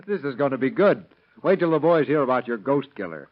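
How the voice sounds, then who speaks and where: An actor playing a cynical police partner, sardonic and slangy, in 1930s radio crime drama style. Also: male voice, mid-range voice, close to an everyday voice. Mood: teasing. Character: cynical, wisecracking police partner who scoffs at hunches. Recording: dull lo-fi vintage radio recording.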